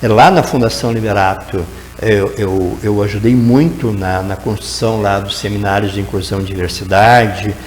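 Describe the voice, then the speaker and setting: A man's voice: fairly low pitch, normal speed, reverberant, big-room sound, a little hiss or hum. A man, a guest educator answering in a TV interview, explaining at length and tagging his sentences with "né?". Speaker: guest educator in teacher training.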